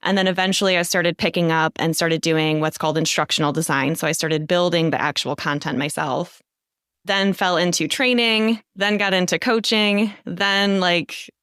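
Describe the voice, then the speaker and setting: A woman's voice: lowish voice, steady tone, moderate pace, fairly bright tone, clean tone, no room sound, studio quality. A woman in her thirties, a guest leadership coach telling her career story in a chatty podcast interview, laughing now and then. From United States. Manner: chatty, laughs often, says "so" a lot.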